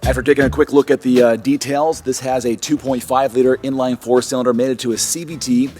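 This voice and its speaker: A man's voice: medium pitch, level tone, brisk. A man in his forties talks casually and upbeat into a camera held at arm's length. His voice is a little worn from a cold, and he thinks aloud as he goes. Faint music plays underneath. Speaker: car reviewer. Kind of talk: casual walkaround car review. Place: outdoors on a street and inside a parked car. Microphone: handheld camera at arm's length.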